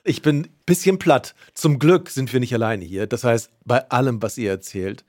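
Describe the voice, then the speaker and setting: A man co-hosting a casual podcast, talking in a playful, animated way. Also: mid-range voice, moderate pace, dry recording, clean studio sound. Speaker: podcast co-host. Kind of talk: casual chat.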